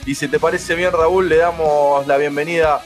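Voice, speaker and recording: A man, hosting a radio show and chatting excitedly over a remote call. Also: a little high, faint music behind. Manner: fast, enthusiastic, slangy. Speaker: radio host. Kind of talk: casual radio chat.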